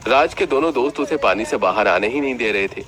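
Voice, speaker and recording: A man's voice: mid-range voice, lively intonation, brisk, fairly bright tone. A man narrating a scripted children's story as the storyteller.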